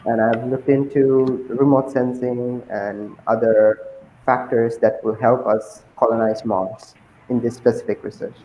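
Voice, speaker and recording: A young man from Sri Lanka answering interview questions as a guest, pausing often with ums and uhs. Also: mid-range voice, flat delivery, reverberant, highs cut off, a little hiss or hum. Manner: hesitant, many ums and uhs.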